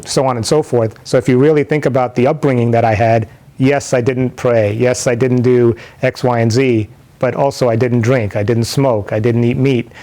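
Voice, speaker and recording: A man in his forties, raised in New York City, gives an unscripted personal testimony. His voice is warm and mellow and stays fairly level in pitch. He talks thoughtfully, with plenty of "uh" and "um", and often restarts his sentences. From New York City, United States. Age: 40s. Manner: thoughtful and rambling, with many fillers and restarts. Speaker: interviewee sharing his conversion story.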